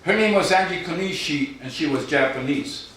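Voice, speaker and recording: A middle-aged man reading his poetry live, with informal asides and a wry, deadpan storytelling manner. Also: a little high, normal speed. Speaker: poet. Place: live event in a reverberant room, audience present. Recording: very echoey hall, distant, noisy.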